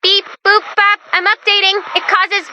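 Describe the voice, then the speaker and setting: A woman's voice: very high-pitched, flat delivery, bright, forceful tone, a little hiss or hum, heavily acted. A woman voicing a perky, nervous, geeky female robot assistant in a video game, chatty, quirky and easily flustered. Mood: giddy. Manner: flustered, quirky, halting then gushing. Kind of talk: animated video game character voice lines.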